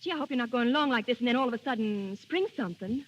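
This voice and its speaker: A woman's voice: fairly high pitch, racing, lo-fi sound, lightly acted. An actress playing a wisecracking, wary young working-class woman in 1930s radio drama, slangy and sassy. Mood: suspicious. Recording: noisy old 1930s radio broadcast.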